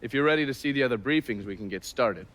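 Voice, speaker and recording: A man's voice: medium pitch, lively intonation, quick pace, a bit bright, close to an everyday voice, rough recording. A man playing a wry, irritable intelligence operative in a video game, with an informal, sardonic manner. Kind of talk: video game cutscene dialogue.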